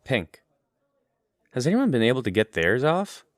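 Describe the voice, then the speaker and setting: Animated, curious teenage boy played by a man. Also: mid-range voice, normal speed, no echo, studio quality, lightly acted. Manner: questioning. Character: curious, practical teenage boy, multilingual student. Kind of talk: audio drama acting.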